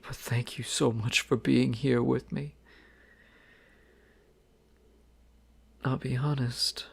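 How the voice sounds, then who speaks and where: A man playing a shy, gravelly young prince. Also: mid-range voice. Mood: tender. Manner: soft, hesitant, halting. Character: shy, sensitive young male crown prince. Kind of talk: romantic audio roleplay.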